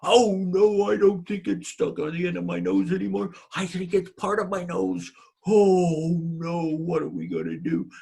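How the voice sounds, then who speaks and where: A man voicing an excitable, simple-minded woodcutter in a told folk tale, exclaiming loudly. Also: fairly high pitch, a little slow, slightly rough, exaggerated voice. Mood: alarmed. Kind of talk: storytelling character voice.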